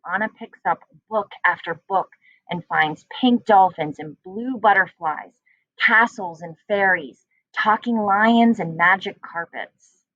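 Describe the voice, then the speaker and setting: A woman's voice: on the low side, fairly bright tone, no room sound. Woman reading a storybook, dry slightly muffled sound. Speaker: teacher reading aloud. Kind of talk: children's storybook read-aloud narration.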